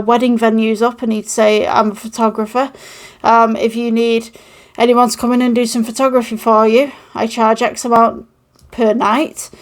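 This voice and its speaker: Rambling woman with a Manchester-tinged accent. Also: on the high side. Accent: mixed northern English, Manchester-tinged. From United Kingdom. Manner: rambling and encouraging, many ums. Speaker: channel host. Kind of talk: casual podcast-style talk to camera. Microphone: old microphone with phone recording.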